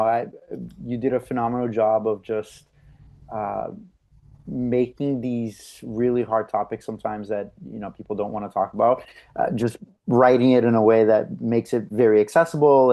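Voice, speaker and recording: A man giving casual feedback on a panel, halting and repeating words as he goes. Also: fairly low pitch, warm tone. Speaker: script reading participant giving comments.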